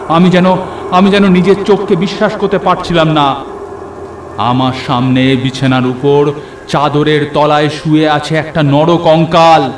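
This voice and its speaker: A man's voice: medium pitch, noisy background, lightly acted. A man playing a young nephew who narrates a horror cartoon story in a dramatic way. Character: young nephew narrating his night of terror. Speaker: narrator and character. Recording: roomy echo, faint rain and thunder.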